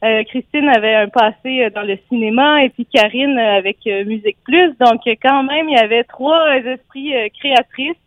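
A woman's voice: on the high side, normal speed, few pauses, no room sound. A woman with a Quebec French accent, a guest chef and sommelier on a phone interview, talking in a bubbly way with frequent laughs and fillers. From Quebec, Gatineau valley. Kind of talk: phone interview on local radio. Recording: tinny phone line, dry.

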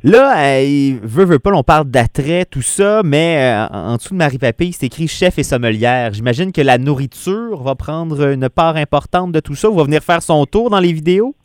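A man hosting a radio interview, asking questions in a relaxed, chatty way. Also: on the high side, normal speed, few pauses, clear, resonant tone, no room sound, clean studio sound. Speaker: radio host.

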